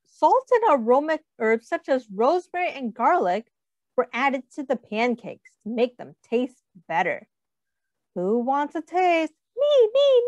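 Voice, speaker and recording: A woman teacher reading a picture book aloud to children, pausing to ask them questions and putting on small voices for the characters, speaking into a webcam. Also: high-pitched, no echo, lo-fi sound. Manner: animated, asks children questions, does little character voices.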